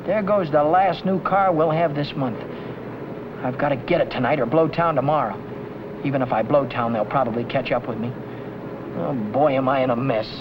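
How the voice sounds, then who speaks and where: An actor playing a tense, cornered auto mechanic in 1950 film noir style, blunt, defensive and slangy. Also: male voice, mid-range voice, normal speed, lightly acted. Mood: worried. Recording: muffled, noisy old film soundtrack.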